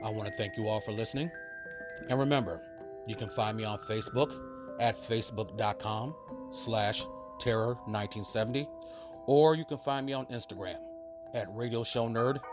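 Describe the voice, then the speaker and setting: A man's voice: medium pitch, normal speed, pauses often. Male podcast host over music. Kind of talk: casual show sign-off. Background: loud mallet music.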